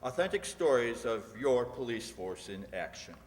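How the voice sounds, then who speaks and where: A man's voice: medium pitch, very animated, normal speed. A man announcing in a polished, emphatic 1950s radio advertisement style. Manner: emphatic salesmanship. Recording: reverberant hall, faint noise.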